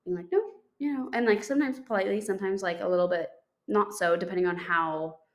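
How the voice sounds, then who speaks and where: A woman's voice: mid-range voice, fast talker. A young woman co-hosting a podcast, telling a story in a chatty way with many fillers and restarts. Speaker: podcast co-host. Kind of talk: casual chat.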